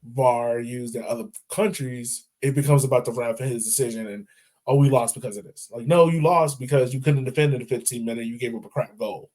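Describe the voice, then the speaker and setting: A man's voice: mid-range voice, fairly quick, a little mellow. Rambling, easygoing man talking football. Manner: rambling, self-correcting, laughs easily. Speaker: podcast co-host. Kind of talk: casual sports chat.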